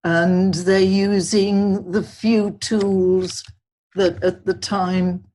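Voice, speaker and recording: An older woman speaks as an interview guest, measured and thoughtful. She talks slowly at an average pitch. Her voice is a little husky. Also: level tone, darkish tone. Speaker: guest, culinary historian. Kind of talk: podcast interview.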